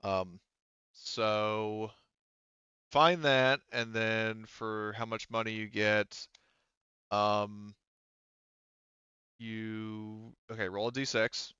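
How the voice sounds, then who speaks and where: A man acting as game master in casual, improvised talk, halting as he thinks aloud. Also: lowish voice, steady tone, pushed and bright, clean tone, dry recording, lo-fi sound. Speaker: game master of a tabletop roleplaying game.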